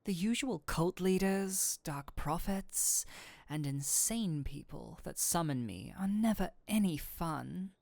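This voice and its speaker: A woman voicing a flirtatious incubus demon who is secretly an excitable nerd, in a scripted roleplay with theatrical, formal phrasing that slips into flustered gushing. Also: lowish voice, takes it slowly, gravelly, no echo, heavily acted. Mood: composed, sultry. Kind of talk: scripted roleplay audio addressed to the listener.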